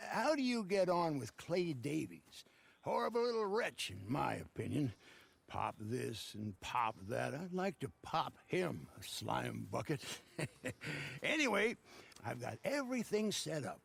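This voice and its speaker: An actor playing an amiable, crotchety old frontier camp hand with a gravelly, rambling delivery in a western video game. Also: male voice, fairly high pitch, sing-song, unhurried, heavily acted. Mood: wry, grumbling. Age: older. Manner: hesitant, rambling, crotchety but friendly.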